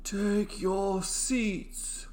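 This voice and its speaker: A man voicing a stern, aloof professor in an audiobook short story, giving slow, drawn-out commands. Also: high voice, little pitch movement, darkish tone, pitched above the performer's own voice. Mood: stern, formal.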